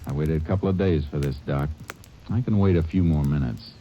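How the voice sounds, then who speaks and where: An actor playing a terse, level-headed Texas Ranger, who also narrates, in a vintage radio drama. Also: male voice, rumbling low, fairly quick, noisy background. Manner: terse, clipped, no-nonsense. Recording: dull old radio recording with music.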